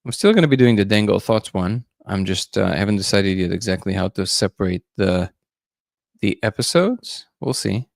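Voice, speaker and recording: Guy with a low gravelly voice rambling casually to his stream chat. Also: animated, normal speed, no room sound, studio quality. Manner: musing, loose, greets viewers by name. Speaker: livestream host.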